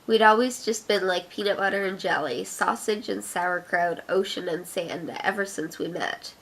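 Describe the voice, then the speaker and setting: A woman's voice: medium pitch, moderate pace, fairly bright tone, rough recording. Young Canadian woman, Ottawa accent, mid-range voice, chatty and giggly vlogger reading aloud. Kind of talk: casual accent challenge, reading her own fiction aloud.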